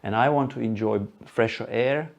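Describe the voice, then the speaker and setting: A man with a Slovak accent giving an informal, chatty talk to a live group, halting now and then for asides. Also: mid-range voice, creaky, highs cut off. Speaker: presenter at an electric car group event. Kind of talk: live presentation to a small audience.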